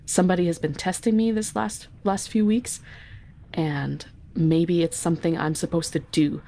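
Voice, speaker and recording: Lower-voiced woman playing a tabletop game. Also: fairly even intonation, normal speed, mellow. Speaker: guest player. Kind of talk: casual improvised game narration. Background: music.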